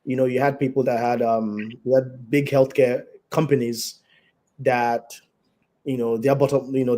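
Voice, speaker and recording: Raspy-voiced doctor, hoarse with flu. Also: male voice, medium pitch, mellow. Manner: measured, explains terms, many fillers. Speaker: doctor, guest. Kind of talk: explanatory interview talk. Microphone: video call.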